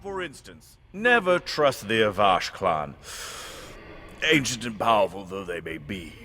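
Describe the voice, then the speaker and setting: Aloof dark lord, low voice, formal deadpan, faint background ambience, fantasy audio drama. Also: male voice, measured pace, a little hiss or hum, full character voice. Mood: dry, ominous. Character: aloof, intimidating male dark lord, dryly advising.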